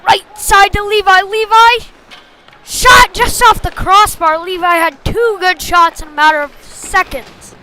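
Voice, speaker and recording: A woman's voice: extremely high pitch, unhurried, very noisy. A woman giving informal amateur play-by-play of a youth hockey game in a partisan, homespun way. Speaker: play-by-play commentator.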